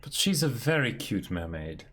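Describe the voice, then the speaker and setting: A man's voice: lowish voice, very animated, normal speed, fairly bright tone, pitched below the performer's own voice. A man voicing a courteous, playful living skeleton with showy manners in a comic dramatic reading. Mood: polite, playful.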